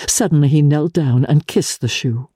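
A woman narrating a classic novel in a measured, deliberate and expressive way, with a low voice. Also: breathy edge, no room sound, studio quality. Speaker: audiobook narrator. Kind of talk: dramatic literary reading.

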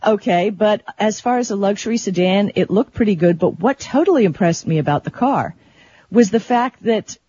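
A woman's voice: medium pitch, expressive, normal speed, warm tone, slightly rough. An American woman chatting casually as the co-host of a talk show. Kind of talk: casual chat. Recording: dull lo-fi audio.

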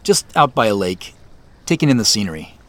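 An actor playing a thoughtful, wry and slightly weary business leader in a naturalistic audio drama, speaking in a low-key, halting way. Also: male voice, sing-song, a bit bright. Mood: casual.

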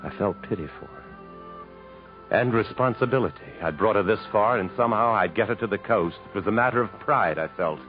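A man's voice: on the low side, lively intonation, fairly quick, natural voice. An actor playing a troubled, proud man stranded in the jungle, in an earnest, dramatic 1950s radio style. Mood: intense, resolute. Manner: earnest, literary, dramatic delivery. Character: weary, troubled male jungle survivor, proud and protective. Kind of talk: 1950s radio acting, dramatic narration and dialogue. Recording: muffled vintage radio recording with music.

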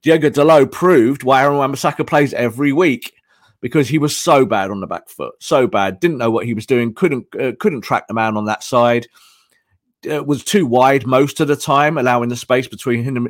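A hoarse man analyzing football tactics on a podcast, emphatic and repeating his points. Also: mid-range voice, normal speed, no room sound, studio quality.

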